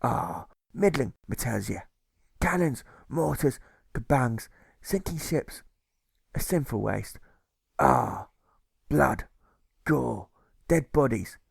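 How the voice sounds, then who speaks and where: A man voicing a gruff, volatile old sailor turned jailer in an audiobook, with a stage pirate accent and blustering, exclamatory delivery. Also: mid-range voice, animated, takes it slowly, long pauses, dry recording, studio quality, full character voice. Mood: brooding.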